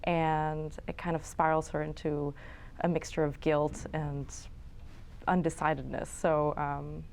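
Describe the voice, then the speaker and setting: A young woman from New York and Florence, answering interview questions about her film in a relaxed, chatty way with fillers and small laughs. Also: sits low, a little slow, gravelly, a little hiss or hum. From New York City and Florence. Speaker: filmmaker guest. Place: studio.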